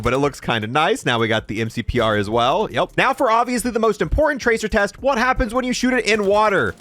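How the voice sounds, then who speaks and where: A man's voice: high voice, fairly bright tone, dry recording. A young man doing casual gameplay review commentary, informal and thinking aloud. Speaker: gaming video host.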